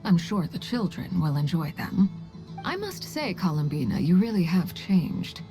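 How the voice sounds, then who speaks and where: A woman voicing a cool, poised woman in a video game, measured and understated with a dry fondness. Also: fairly low pitch, sing-song, music in the background. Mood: composed, amused.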